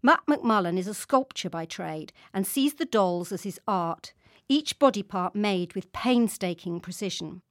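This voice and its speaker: A woman narrating a scripted radio news feature in a calm, wry storytelling manner. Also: mid-range voice, a little slow, dry recording, clean studio sound. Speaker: radio correspondent.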